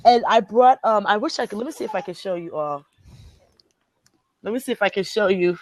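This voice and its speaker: A woman's voice: medium pitch, brisk, no room sound. A woman with a Liberian accent hosting a motivational group chat, speaking earnestly and emphatically, often repeating phrases to drive a point home. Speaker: host.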